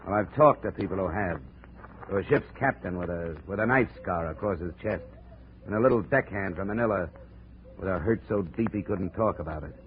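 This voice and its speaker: Guy doing hard-boiled detective, cynical, deep voice, old 50s radio drama, crackly narrow sound. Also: fairly quick, lightly acted. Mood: hard, resolute. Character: tough, cynical insurance investigator leading a jungle manhunt. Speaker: narrator and lead actor. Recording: scratchy, narrow-band 1950s radio recording.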